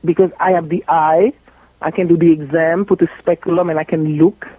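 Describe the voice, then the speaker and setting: A woman's voice: low voice, fairly even intonation, fairly quick, slightly rough, no echo. A woman from Haiti with a French accent, a gynecologist guest in a radio interview, speaking bluntly and jokingly and repeating herself for emphasis. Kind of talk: animated radio interview talk. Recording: tinny phone-like line.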